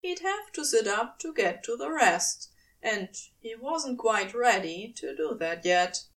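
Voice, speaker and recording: A woman's voice: a little high, lively intonation, unhurried, a bit bright, far from the mic. A woman reading scripted fiction aloud as the narrator. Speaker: podfic narrator.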